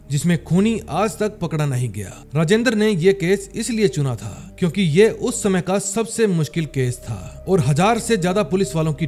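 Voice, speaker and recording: A man narrates a film story from a script. His voice sits a little high and moves up and down with a lot of animation. Light music plays underneath the narration. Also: a little mellow. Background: background music.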